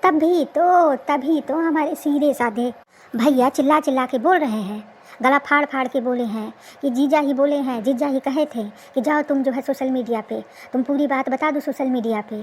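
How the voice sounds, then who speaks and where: High voiced lady doing gossipy reaction talk, teasing and exclamatory, bit of noise behind her. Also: normal speed, a little mellow. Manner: colloquial, teasing, exclamatory with rhetorical questions. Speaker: reaction video host. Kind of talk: casual gossip commentary on a social media dispute.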